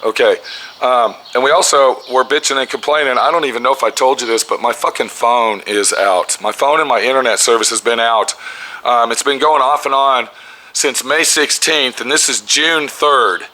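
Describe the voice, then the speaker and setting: A man in his fifties with a raspy voice, ranting and swearing nonstop as he shows work in his auto body garage. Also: mid-range voice, normal speed, pushed and bright, rough recording. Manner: heavy swearing, pissed-off ranting. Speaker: custom auto body shop owner. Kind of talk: angry workshop vlog. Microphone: camera held close at arm's length.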